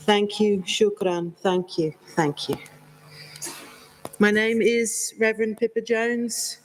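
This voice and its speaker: A woman's voice: medium pitch, little pitch movement, faint music behind, poor audio. A woman reverend giving a gracious interfaith address as a guest speaker, with an accent that is not South African. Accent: non-South African. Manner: warm, measured, grateful, storytelling. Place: convention hall.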